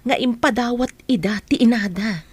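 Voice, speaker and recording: An actress playing an earnest younger woman in a radio drama, concerned and questioning in a gently confronting way. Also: on the high side, moderate pace, slightly rough, no echo. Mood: earnest, pressing.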